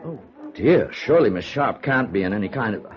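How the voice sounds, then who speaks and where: A man's voice: fairly low pitch, animated, fairly quick, lightly acted. Man playing a fussy, swooping hotel desk clerk. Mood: flustered, fawning. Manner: prissy, hesitant, over-polite. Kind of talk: 1950s radio drama acting. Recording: muffled vintage radio recording.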